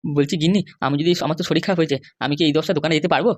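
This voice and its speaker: A man's voice: fairly high pitch, very fast, a little mellow, no room sound, lightly acted. A man voicing a henpecked, pleading middle-aged cartoon husband with a West Bengal accent. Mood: wheedling. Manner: wheedling, grumbling. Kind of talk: comic cartoon dialogue.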